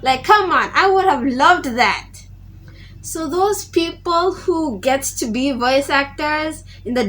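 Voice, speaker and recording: A woman's voice: sits high, a bit bright. A teenage girl talking casually to her viewers, rambling and often restarting her sentences. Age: teens. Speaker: vlogger. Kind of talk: casual vlog tribute.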